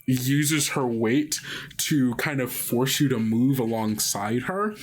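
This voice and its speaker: A man's voice: medium pitch, slow, breathy edge. A man speaking as podcast host and game master, casually introducing and narrating an improvised tabletop game.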